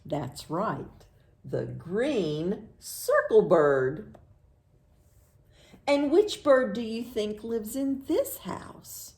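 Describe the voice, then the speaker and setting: A woman's voice: medium pitch, very animated, very slow, darkish tone. An American woman hosting storytime for young children, reading in a singsong way and asking simple questions, then affirming the answers. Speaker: children's librarian, storytime host.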